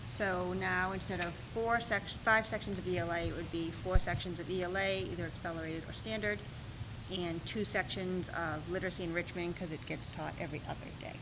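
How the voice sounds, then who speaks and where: A soft-spoken woman, a school principal, explaining schedules and budget requests at a meeting, with frequent pauses and ums. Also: on the low side, steady tone, background noise. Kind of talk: presenting at a school board meeting.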